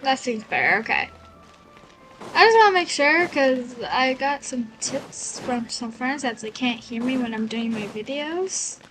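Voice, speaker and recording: A woman chatting casually and haltingly to viewers as a gaming video creator. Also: sits high, lively intonation, a bit bright, faint music behind, rough recording. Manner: hesitant, halting. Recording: clear background noise.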